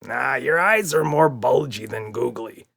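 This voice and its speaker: Man doing a creaky, sarcastic monster. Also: mid-range voice, animated, a little slow, fairly bright tone, pitched above the performer's own voice, full character voice. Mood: dismissive. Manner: snickering, mumbling, dry. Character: skeptical, sarcastic, flabby monster sidekick. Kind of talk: children's audiobook character.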